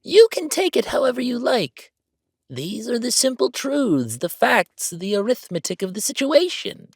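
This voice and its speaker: A man's voice: very high voice, sing-song, a little slow, gravelly, husky, no echo, studio quality, voice pushed up, exaggerated voice. A man voicing a haughty, blunt count in a fantasy roleplay audio drama, with pompous, formal and condescending delivery. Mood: defiant, lecturing.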